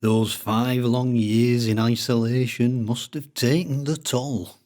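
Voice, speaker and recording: An older Lancashire collector with a thick accent, in a story read aloud, as the narrator puts him on. He drones on in an unhurried, secretive way, his voice lifted higher than the narrator's own. It has a slightly different, altered timbre from the reader's usual voice. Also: steady tone, darkish tone, natural voice. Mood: droning. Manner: droning, unhurried storytelling with dialect grammar. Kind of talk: audiobook character voice.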